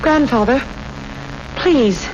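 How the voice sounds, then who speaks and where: An actress playing a fearful, awed granddaughter in a 1970s radio drama, halting and frightened. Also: female voice, high voice, animated, heavily acted. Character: fearful, awed granddaughter tending an old man. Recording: muffled, noisy old radio recording.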